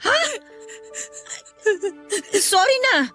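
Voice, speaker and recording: A woman's voice: very high-pitched, sing-song, very slow, bright, forceful tone, exaggerated voice. A woman playing a middle-aged mother of many children in a Filipino radio drama, blunt but remorseful, talking in a coaxing, colloquial way. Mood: apologetic, soothing. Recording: faint music under the voice.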